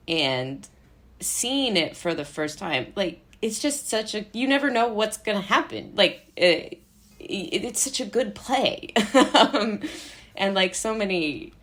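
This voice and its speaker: A young American woman from Brooklyn in her twenties, chatting casually as an interview guest in a rambling, animated, giggly way. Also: fairly low pitch. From Brooklyn, United States. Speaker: interview guest, playwright and actor. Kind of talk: casual chat.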